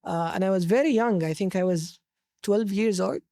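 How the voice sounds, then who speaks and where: A man with a Saudi Arabic accent, telling his personal story conversationally as an interview guest, pausing to search for words. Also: sits high, mellow, no echo, clean studio sound. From Jeddah, Saudi Arabia.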